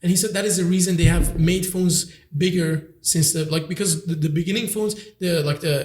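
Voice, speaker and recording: A man's voice: high voice, steady tone, fairly quick, a little mellow. A nineteen-year-old man hosting a casual podcast chat, rambling with lots of fillers and restarts. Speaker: podcast host. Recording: echoey room.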